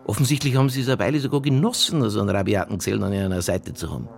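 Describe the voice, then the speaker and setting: An actor playing a dogged, sardonic police detective in a radio drama, with a Bavarian accent and a gravelly voice. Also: male voice, lowish voice, rapid-fire, soft background music. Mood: accusing. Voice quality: gravelly, husky. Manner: dry, needling, insistent. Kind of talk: naturalistic radio drama dialogue.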